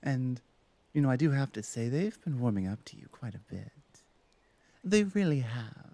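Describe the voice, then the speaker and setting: A woman plays a female orc shaman in an intimate ASMR roleplay. Her voice is dark and soft-edged, recorded dry and close. She chats playfully, teasing and devoted, with little hesitant asides. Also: very deep voice, sing-song, normal speed, dry recording, exaggerated voice. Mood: thoughtful, reassuring. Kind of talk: ASMR roleplay, intimate one-sided conversation.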